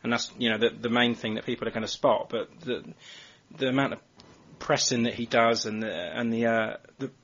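A man's voice: medium pitch, fairly even intonation, brisk, fairly bright tone. A man hosting a football podcast, chatting casually and putting questions to the others. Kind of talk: casual sports discussion and interviewing. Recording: dull, lo-fi voice recording.

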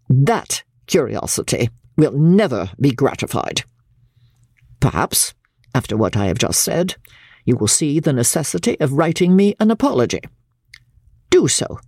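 A woman voicing a haughty, cold, self-righteous widow in a dramatic reading of a classic novel, husky, stern, precise and formal. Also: sits low, darkish tone, dry recording, clean studio sound, full character voice. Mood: stern reproof. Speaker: audiobook reader.

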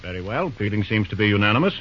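A self-important company president who is formal and easily affronted. His pitch is medium and moves widely. The vintage radio drama recording is muffled and very noisy. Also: big pitch swings, racing. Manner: pompous, formal. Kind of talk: old-time radio drama acting.

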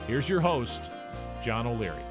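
A man's voice: medium pitch, very animated, normal speed. A man reading a scripted show introduction with a polished announcer delivery. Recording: intro voice over guitar music.

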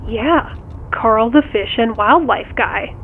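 A muffled phone voice over faint car noise. It is a worried, blunt woman friend, played by an actress in an audio drama, speaking unhurriedly in a high voice. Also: takes it slowly. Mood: defensive. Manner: blunt, exasperated, concerned. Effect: phone call filter.

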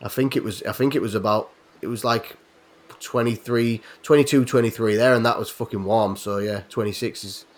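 A man's voice: on the low side, fairly even intonation, brisk. An English man in his late thirties chatting casually on a podcast, rambling and swearing a lot, laughing often. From England. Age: 30s. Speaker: podcast host. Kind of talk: casual chat.

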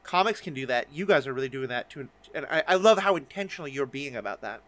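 A man's voice: mid-range voice, expressive, fast, a bit bright. A man hosting a casual interview, talking in an earnest, wordy way that often circles around its point. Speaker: podcast host interviewing guests.

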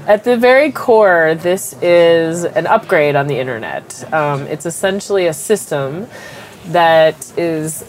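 A woman with a cold and a stuffed-up voice, a company executive answering interview questions and explaining technology through everyday comparisons, with frequent ums. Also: on the low side.

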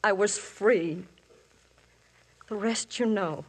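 An actress playing a young married woman who recounts her story to the police, earnest and quietly desperate, in 1950s radio drama style. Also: medium pitch, slow, slightly rough. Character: married woman, young adult, anxious and confessing. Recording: old radio broadcast, faint hiss.

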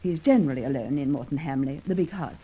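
Low, husky, spirited farmer's daughter. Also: female voice, quick pace. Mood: proud, resigned. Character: spirited young farmer's daughter, wry, proud and resigned. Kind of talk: old-time radio drama acting. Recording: muffled, lo-fi old radio recording.

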